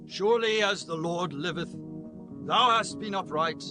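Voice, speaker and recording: A man's voice: sits high, unhurried, music in the background. A man acting a courteous, regretful king in a dramatized scripture audiobook, formal and earnest. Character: regretful, courteous king speaking kindly to his follower.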